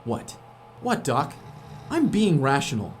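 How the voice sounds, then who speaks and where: An animated man acting as a sceptical astronaut technician. He is hot-headed and sardonic, his pitch jumping around, in a sci-fi audio drama with light music behind him. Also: slow pace. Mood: wary, arguing. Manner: sardonic, sweary, informal.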